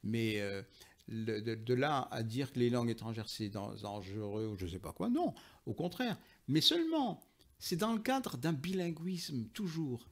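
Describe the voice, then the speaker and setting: A man with a noticeably creaky voice at a middling pitch. He talks as an invited expert in an interview, explaining his position emphatically and rephrasing as he goes. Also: big pitch swings, unhurried. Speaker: interview guest, linguist and author. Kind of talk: explanatory interview talk, argumentative.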